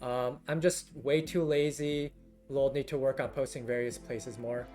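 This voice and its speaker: A young man streaming a game, chatting to viewers in a halting, rambling way full of fillers, his voice scratchy and worn down from talking for hours. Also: mid-range voice, steady tone, darkish tone, faint music behind. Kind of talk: casual live-stream chat with viewers.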